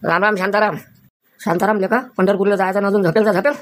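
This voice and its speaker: A woman's voice: medium pitch, fairly even intonation, fast talker, natural voice. A woman voicing a nosy, easygoing village man in a comedy cartoon, with a familiar manner and a Varhadi Marathi accent. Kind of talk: exaggerated cartoon comedy dialogue.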